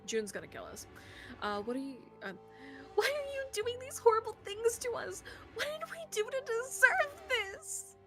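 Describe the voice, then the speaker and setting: A woman's voice: mid-range voice, sing-song, brisk, close to an everyday voice. A woman voicing a hysterical, terrified young woman from a visual novel, desperate and trembling as she pleads and wails. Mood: desperate pleading. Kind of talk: visual novel character read aloud. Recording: loud music behind the voice.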